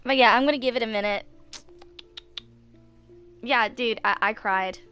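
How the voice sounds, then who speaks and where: A woman's voice: a little high, unhurried, bright, forceful tone, smooth, soft background music. A woman in her twenties chatting casually on a game stream in an informal, rambling way. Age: 20s. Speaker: gaming streamer.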